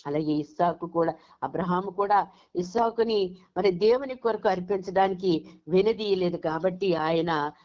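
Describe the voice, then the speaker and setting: A woman's voice: fairly low pitch, moderate pace. A woman preaching a sermon over a conference call, retelling Bible stories and often restarting her phrases. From Andhra Pradesh, India. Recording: dull, lo-fi conference call audio.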